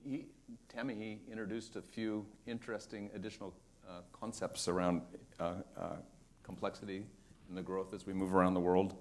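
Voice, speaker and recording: A man's voice: deep voice, flat delivery, normal speed, a little mellow. A man moderating a conference panel discussion, posing questions casually and searching for words as he goes.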